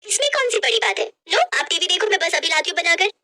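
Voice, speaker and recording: A woman's voice: extremely high pitch, expressive, fast, pushed and bright, pitched above the performer's own voice, full character voice. A woman voicing a sly, lazy young twin sister who sweet-talks in a breezy, wheedling way in a moral story. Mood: eager, evasive.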